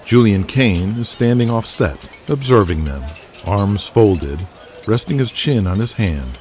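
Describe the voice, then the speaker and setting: A man narrating an audio drama in present tense with vivid, deliberate storytelling. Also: lowish voice, animated, a little mellow, slight background noise.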